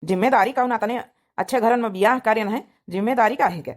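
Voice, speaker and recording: A woman puts on a talkative village elder brother for a cartoon family comedy. The voice sits on the high side. He is doting and insistent, speaking colloquially in an Awadhi accent. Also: a little fast, lightly acted. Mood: fretful.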